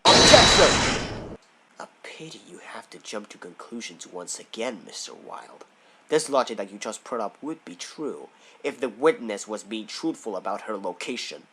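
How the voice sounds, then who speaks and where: A man's voice: fairly low pitch, big pitch swings, normal speed, pushed and bright, breathy edge, exaggerated voice, poor audio. A man playing a haughty, sneering eagle prosecutor in an audio drama, with a pompous, theatrical delivery. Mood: scornful objection. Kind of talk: fan audio drama acting.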